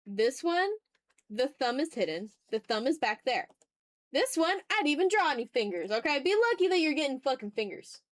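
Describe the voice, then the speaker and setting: A woman with a fairly high voice, recorded close and dry, hosts a casual drawing stream. She thinks out loud and banters with her viewers, playful and teasing, with a streak of mock exasperation. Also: sing-song, moderate pace, no room sound. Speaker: art streamer. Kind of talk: casual livestream chat while drawing.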